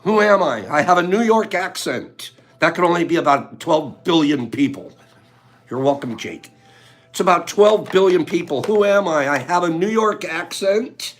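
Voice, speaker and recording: Male livestream host, high voice, playful and teasing with chat, faint music underneath. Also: expressive, moderate pace. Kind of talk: casual livestream chat with viewers.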